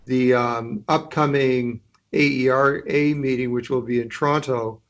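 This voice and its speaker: A man's voice: medium pitch, fairly even intonation, unhurried. Hesitant man on dull call audio. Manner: hesitant, many restarts and fillers. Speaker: dean, association member. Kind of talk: conference call remarks. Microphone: conference line.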